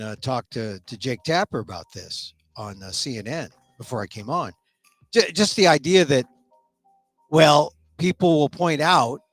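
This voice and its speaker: Sniffly middle-aged guy ranting politics on his little show, bit high voice, faint music bed. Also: dry recording. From United States. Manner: opinionated, repeats words when starting sentences. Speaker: talk show host. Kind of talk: solo political commentary.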